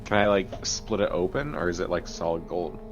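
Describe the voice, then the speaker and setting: A man's voice: deep voice, fairly quick, dull audio, soft background music. A man chatting casually as a player in a tabletop game. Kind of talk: casual chat.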